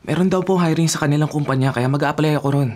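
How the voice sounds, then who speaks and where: Actor as quick-talking, defensive Filipino son. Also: male voice, a little high, a little mellow, close to an everyday voice. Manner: polite, defensive. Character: respectful, evasive grown son answering his mother. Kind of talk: Filipino radio drama acting.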